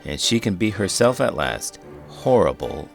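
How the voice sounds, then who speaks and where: A man with a low voice reads a children's story aloud. His delivery is theatrical and very animated, swinging widely in pitch. Faint music plays underneath. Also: a little slow, creaky. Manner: theatrical, expressive. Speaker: host, children's book reader.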